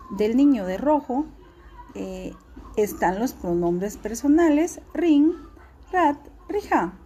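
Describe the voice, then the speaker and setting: A woman teacher, giving a recorded class lesson in a clear, instructive way and repeating key words slowly. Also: medium pitch, warm tone, slight background noise.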